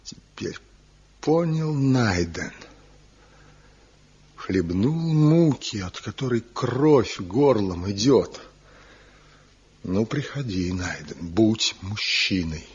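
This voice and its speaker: A fervent rival master craftsman in an old radio play, his husky, breathy voice rising and falling in wide swings. He speaks slowly and theatrically. The recording is dull and lo-fi. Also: lowish voice, expressive, darkish tone, exaggerated voice. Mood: exalted. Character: passionate rival male master craftsman, reckless. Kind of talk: 1970s radio play acting.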